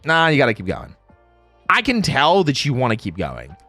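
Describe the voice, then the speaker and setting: A playful man streams to his viewers with a lively, swooping pitch and a medium-register voice. He talks casually and slangily, often repeating phrases for emphasis. The sound is close and dry, with faint music behind him. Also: normal speed, no room sound. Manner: playful, reads chat aloud and replies. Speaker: livestreamer. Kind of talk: casual livestream chat with viewers.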